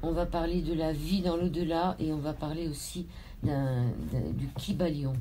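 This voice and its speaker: A woman hosting a radio interview, introducing her guest in a measured way and spelling out names. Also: deep voice, fairly even intonation, warm tone, slight background noise. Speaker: radio host.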